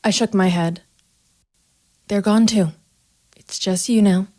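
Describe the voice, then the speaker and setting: A woman's voice: medium pitch, fairly even intonation, takes it slowly, darkish tone, long reverb tail, natural voice. An actress playing a cold, methodical female private investigator and vigilante, narrating a noir audio drama in a hard-edged, matter-of-fact way. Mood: grim, controlled. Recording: music bed behind the voice.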